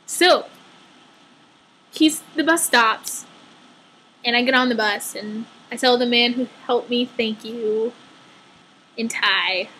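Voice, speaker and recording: A young woman telling a casual personal story in an animated, exaggerated way, acting out her own asides as a storytelling vlogger. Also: fairly high pitch, slow, long pauses, slight background noise, rough recording.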